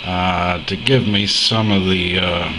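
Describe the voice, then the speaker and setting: A man with a fairly low voice talks casually and haltingly, like an unscripted hobby demo. He is indoors at a desk, with clear shortwave receiver noise behind him. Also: fairly even intonation. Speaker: radio hobbyist demonstrating his homemade receiver. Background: shortwave receiver sounds.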